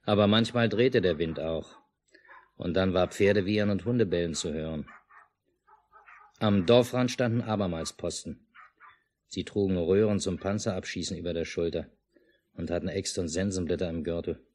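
A man's voice: sits low, normal speed, long pauses, darkish tone. An actor playing a stubborn, unruffled village family man and narrator in a 1950s German radio drama. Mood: calm, narrating. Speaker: radio play lead and narrator. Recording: noisy mono 1950s radio recording.

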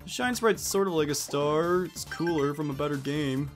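A man's voice: a little high, normal speed, faint music behind. A man giving casual let's play commentary on a video game, reading dialogue aloud as he goes.

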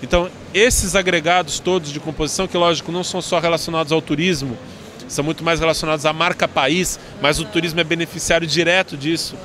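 A Brazilian man with a fairly high voice gives a formal interview answer. He speaks in a measured way, feeling for his words, into a handheld mic amid faint fair noise. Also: steady tone, fairly bright tone. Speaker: tourism board director, interview guest. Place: busy indoor trade fair booth. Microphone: handheld interview microphone. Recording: clear background noise at trade fair.

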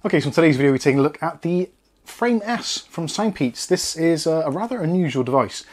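A man's voice: a little high, animated, fairly quick. A British man in his forties hosting a casual unboxing review, explaining things in a chatty, conversational way. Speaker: product reviewer, channel host. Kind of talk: casual scripted-outline product review.

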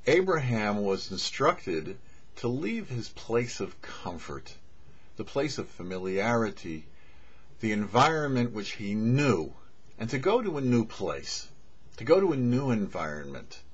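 A middle-aged man delivers a short rabbinic teaching in measured phrases sprinkled with Hebrew. His voice is husky and rises and falls in a lively way at a medium pitch. The recording is dull and lo-fi. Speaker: rabbi, host of a weekly Torah show. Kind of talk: short religious teaching to camera. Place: indoors before a cloth backdrop. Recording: dull, muffled lo-fi audio.

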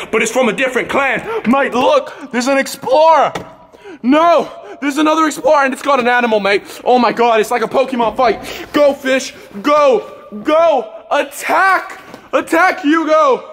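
A man playing a brash, bumbling male wildlife poacher in an improvised comedy skit, excitable and tacking "mate" onto everything. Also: very high-pitched, a little fast, voice pushed up. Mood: boastful. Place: school building, indoors. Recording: echoey halls with crowd chatter. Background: students chattering.

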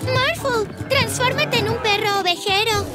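A woman's voice: extremely high pitch, measured pace. A woman voicing an eager, helpful little girl in a children's cartoon dub, cheerful and exclamatory. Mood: commanding. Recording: music behind.

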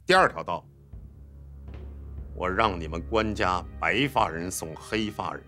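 Low-pitched regiment commander, commanding, scheming, widely swinging intonation, TV period drama, music behind. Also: male voice, lively intonation, measured pace, sharp tone, full character voice. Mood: angry, threatening. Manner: commanding, haggling, bullying. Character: bossy, scheming, threatening male military regiment commander. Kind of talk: Chinese TV drama acting.

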